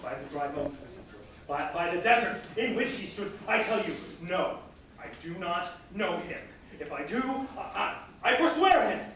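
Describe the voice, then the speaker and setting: A man playing an ambitious, excitable fisherman disciple on stage, with an emphatic delivery that can turn halting. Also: high-pitched. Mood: panicked denial. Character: Simon, ambitious boastful fisherman turned flustered fearful disciple. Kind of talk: staged reading, theatrical stage acting. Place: live staged reading in a reverberant room. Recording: echoey hall from far off, faint noise.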